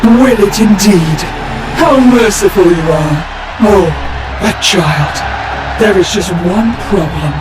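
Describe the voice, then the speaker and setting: A woman's voice: mid-range voice, slow, close to an everyday voice. A woman playing an imperious villain sorceress in a fantasy fan dub, taunting and theatrical. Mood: mocking. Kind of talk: dramatic fan dub acting. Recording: very echoey, distant, music behind.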